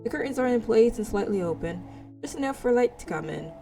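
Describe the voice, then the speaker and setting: A woman reading a visual novel aloud as its player, dramatic but halting over words, with casual asides. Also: fairly high pitch, lively intonation, creaky. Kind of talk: reading game text aloud with casual reactions. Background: music.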